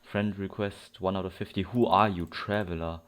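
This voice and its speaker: Low-voiced man giving casual gaming commentary. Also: steady tone, moderate pace, clean tone. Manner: rambling, self-repeating, informal. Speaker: gaming video host. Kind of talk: casual unscripted let's play commentary. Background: game music.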